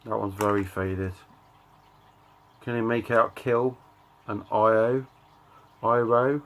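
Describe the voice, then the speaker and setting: Low-voiced middle-aged man, slightly gravelly. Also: fairly even intonation, a little hiss or hum. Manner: halting, offhand, reads out titles in short bursts. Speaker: record collector and channel host. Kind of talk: casual show-and-tell commentary.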